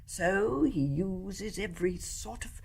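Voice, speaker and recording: Genteel, expressive older English mother. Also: mid-range voice, creaky, husky, exaggerated voice. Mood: confiding. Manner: measured, genteel. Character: genteel older mother, kindly and quietly observant. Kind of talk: radio play acting.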